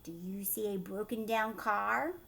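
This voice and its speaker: A woman teacher reading a picture book aloud to children, expressive, with explanatory asides and questions. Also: mid-range voice, measured pace, vocal fry.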